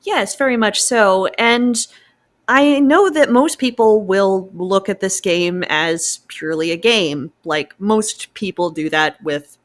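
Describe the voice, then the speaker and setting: A woman speaking as a guest fan writer in an enthusiastic discussion, at a measured pace. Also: mid-range voice.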